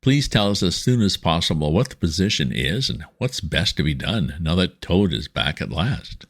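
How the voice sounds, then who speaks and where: A man's voice: low voice, big pitch swings, moderate pace, exaggerated voice. A man playing a fussy, sensible rat. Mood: exasperated. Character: anxious, sensible male water rat.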